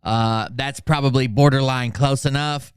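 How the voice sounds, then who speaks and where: A man's voice: medium pitch, steady tone, unhurried, smooth, no echo, clean studio sound. A man giving casual, mocking commentary as a channel host, sarcastic and given to swearing. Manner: sarcastic, profane.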